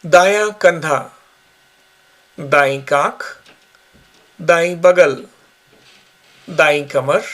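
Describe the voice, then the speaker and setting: A man guiding a yoga nidra relaxation session with slow, calm, measured instructions. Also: a little high. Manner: slow, calm, measured guidance with long pauses. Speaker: yoga teacher.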